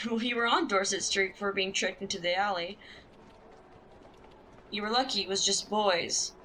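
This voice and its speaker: An actress playing a plucky, streetwise young Victorian match girl in a historical audio drama, brisk, slangy and teasing. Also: mid-range voice, fairly even intonation, sharp tone, slight background noise, close to an everyday voice, poor audio.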